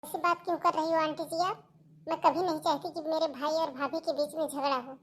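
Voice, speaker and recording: A woman's voice: sits high, steady tone, fairly quick, lightly acted. A woman playing a meek, hard-working young sister with a polite, pleading manner in a Hindi cartoon moral story. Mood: hurt.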